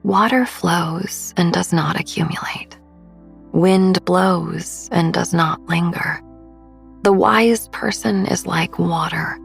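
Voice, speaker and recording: A woman podcast host narrating a sleep meditation in a soft, breathy, soothing and gentle manner. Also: on the low side. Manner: soothing, hushed, gentle delivery.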